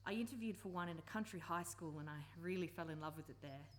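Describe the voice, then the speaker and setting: A woman's voice: on the low side, fast talker, slight background noise, close to an everyday voice. A woman acting an earnest, persuasive campaigner and former country teacher in a live radio play. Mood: earnest, sorrowful.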